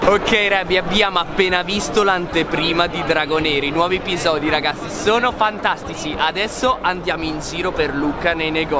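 A young man co-hosting a vlog, teasing and chatting lively to camera at an outdoor comic fair. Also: a little high, a little fast, hardly any pauses. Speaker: vlogger co-host. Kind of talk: playful chat to camera. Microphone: handheld camera at arm's length. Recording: music and loud crowd behind.

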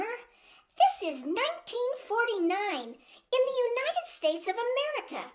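An actress plays an earnest housewife of the 1940s in a radio play. Her voice is very high and lively, full of worry and sincerity. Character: Marge, worried earnest 1940s American housewife. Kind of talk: 1940s radio drama acting.

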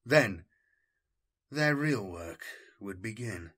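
A man reading a military science fiction story as dramatic scripted narration, in a measured, formal storytelling manner. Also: lowish voice, animated, a bit bright, breathy edge. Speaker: audiobook narrator.

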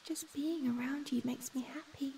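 Casting: female performer for a shy young female ghost, high, mellow whisper, tender and hesitant, ASMR roleplay. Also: steady tone, normal speed, distant mic, a little hiss or hum. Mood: tender, soothing. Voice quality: soft, breathy, whispery.

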